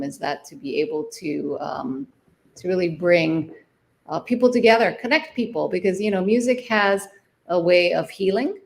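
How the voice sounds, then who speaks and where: A woman's voice: fairly low pitch, creaky, a little hiss or hum. A middle-aged woman from Hawaii, a jazz artist speaking as a guest in a casual interview, thoughtful, with many fillers and restarts.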